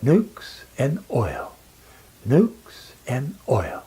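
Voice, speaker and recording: Guy giving a serious scripted talk, really slow and emphatic, pitch moves around a lot, dramatic pauses. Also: wildly swooping pitch, mellow, slightly rough. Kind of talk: scripted persuasive lecture narration.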